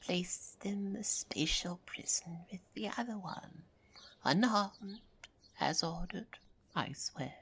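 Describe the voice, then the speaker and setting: A woman playing a sly elderly woman in a fantasy audio drama, with a husky, creaky voice and a drawn-out, theatrical delivery. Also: lowish voice, animated, a bit bright, heavily acted. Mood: sly, mocking. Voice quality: creaky, husky, breathy old voice. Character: sly elderly woman, a henchwoman's disguise, feigning kindness.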